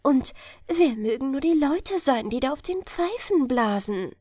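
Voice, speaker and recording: A curious fairy-tale girl of twelve, played by a woman reading aloud, wondering and wistful. Her voice sits high and lilts in a dry, close sound. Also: lively intonation, unhurried, warm tone, no room sound. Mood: wondering, longing. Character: curious, longing twelve-year-old fisherman's daughter in fairy tale.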